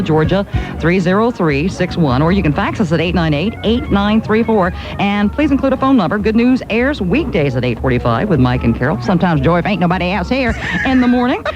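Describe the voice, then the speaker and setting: Lively woman radio announcer over loud music. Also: medium pitch, fairly quick, lo-fi sound. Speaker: radio traffic reporter and announcer. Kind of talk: live radio announcing and banter.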